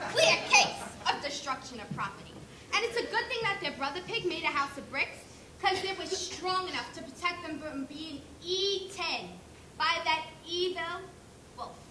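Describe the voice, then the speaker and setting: A female amateur actor playing an excitable, accusing pig juror on stage, with emphatic, theatrical storytelling. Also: very high voice, full character voice. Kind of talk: amateur school stage play. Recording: echoey room with clear noise.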